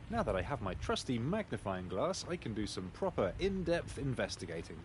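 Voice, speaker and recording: A man's voice: medium pitch, sing-song, fairly quick, a little hiss or hum, natural voice. A man voicing a polite, bumbling, mildly pompous pig detective in a video game, musing hesitantly. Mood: earnest, polite. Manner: hesitant, ponderous, courteous. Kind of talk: video game character acting. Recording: loud music behind the voice.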